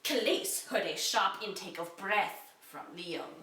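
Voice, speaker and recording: A woman reads a story aloud in a mock-dramatic, theatrical way, moving between hushed gravity and excited emphasis. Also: mid-range voice, a little slow. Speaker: reader of an amateur story. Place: echoey room, away from the mic. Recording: roomy echo, distant from microphone.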